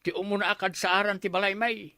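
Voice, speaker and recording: Casting: coaxing, teasing gym owner, very high bright voice, Ilocano accent, radio comedy. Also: male voice, level tone, fast talker, no room sound, exaggerated voice. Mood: boastful, persuading.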